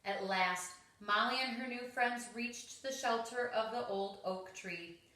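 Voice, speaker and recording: A woman's voice: on the high side, measured pace, sharp tone. A woman reading a children's story aloud, expressively and with dramatic emphasis. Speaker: storybook reader. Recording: roomy, echoing home recording.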